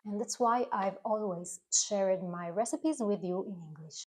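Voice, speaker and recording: An Italian woman addresses her viewers directly in English, her second language. She is earnest and careful, with a few hesitations and a mid-range voice as she explains her work. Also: medium pitch. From Italy. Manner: earnest, careful, slightly halting non-native phrasing. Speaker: food channel creator. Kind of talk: speaking directly to camera.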